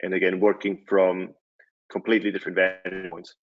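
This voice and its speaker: Deep, flat-voiced Swiss man presenting. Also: brisk, narrow, tinny audio. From Switzerland. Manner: relaxed, many fillers and restarts. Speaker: conference speaker, company CEO. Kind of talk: presentation talk.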